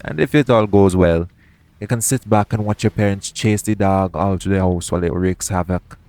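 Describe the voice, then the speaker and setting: A man playing a roguish, caring pirate captain in an intimate audio roleplay, speaking in a soothing, gently teasing manner. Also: low-pitched, moderate pace, no echo. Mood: teasing, playful.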